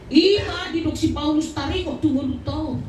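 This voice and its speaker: A woman with a Batak Indonesian accent delivers a funeral sermon as a preacher, with deliberate, emphatic phrasing and frequent pauses, in a roomy, distant recording with faint noise. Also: sits high, a little mellow.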